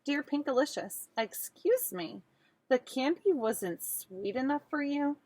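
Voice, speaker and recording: Woman as storybook Easter bunny, haughty, fussy and formal, reproachful, with a fairly high voice. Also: lively intonation, a little slow, darkish tone. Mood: miffed, condescending.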